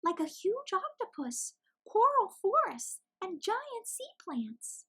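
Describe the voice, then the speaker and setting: Very high squeaky gushing little girl penguin. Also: very animated, takes it slowly, sharp tone, exaggerated voice. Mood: eager, reassuring. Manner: gushing, eager. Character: bubbly excited young girl penguin, chummy and gushing.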